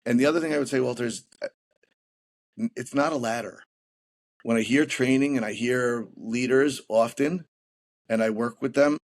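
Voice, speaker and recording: A man speaks as a guest on a business podcast, laying out his methods. His voice sits at a middling pitch, and he often repeats words and restarts phrases as he explains. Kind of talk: interview answers on leadership.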